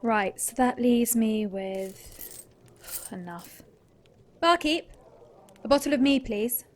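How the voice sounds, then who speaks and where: A woman's voice: a little high, lively intonation, slow. Blunt monster-hunting princess, played by a woman. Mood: wry. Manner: blunt and curt, swears freely. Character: blunt young woman monster hunter, foul-mouthed princess. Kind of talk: fan audio drama acting.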